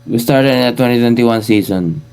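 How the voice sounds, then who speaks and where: A young man, co-hosting a sports podcast, calm and a bit hesitant. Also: mid-range voice, moderate pace. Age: 20s. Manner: calm, hesitant, repeats words. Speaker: podcast co-host. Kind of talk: casual sports podcast chat.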